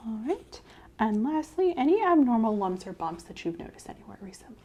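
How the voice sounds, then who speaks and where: Soft, mellow woman giving a gentle exam. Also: on the high side, very animated, a little fast. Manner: gentle, reassuring, step-by-step instructing. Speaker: clinician in a medical exam role-play. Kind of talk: soft-spoken ASMR medical role-play. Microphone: clip-on mic.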